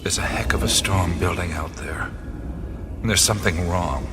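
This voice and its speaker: An actor playing a wry, uneasy male adventurer in a radio drama, often hushed and wisecracking. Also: deep voice, normal speed, over music, natural voice. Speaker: lead adventurer.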